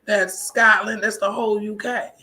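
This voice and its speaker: Casting: American female vlogger, bright and punchy voice, emphatic colloquial delivery, conversational solo talk. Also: medium pitch, steady tone, moderate pace. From United States. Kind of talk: casual personal vlog monologue.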